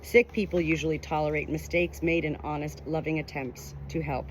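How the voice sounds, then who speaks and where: A woman's voice: low-pitched, steady tone, normal speed, a little hiss or hum. A woman reading a nonfiction book aloud as scripted audiobook narration. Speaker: audiobook reader.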